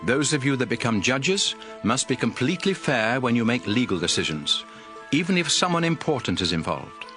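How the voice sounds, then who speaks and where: A man playing a solemn prophet lawgiver in a dramatized audio Bible, addressing his people with emphatic, deliberate, instructive phrasing. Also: medium pitch, big pitch swings, a bit bright, faint music behind. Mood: solemn, commanding. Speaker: scripture narrator.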